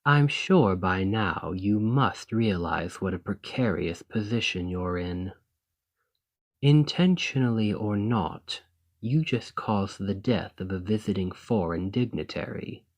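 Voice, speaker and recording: A man's voice: lowish voice, expressive, full character voice. A man playing an ambitious foreign noble in a fantasy audio roleplay, speaking in a formal, composed, courteous and persuasive manner. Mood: grave, stern. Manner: formal, measured, deliberate, condescendingly sympathetic. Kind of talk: scripted fantasy ASMR roleplay monologue.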